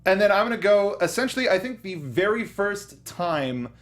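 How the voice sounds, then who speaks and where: Excitable man co-hosting a pop culture show. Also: sits high, normal speed. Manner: enthusiastic, rambling with fillers and restarts. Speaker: podcast co-host. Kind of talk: casual chat.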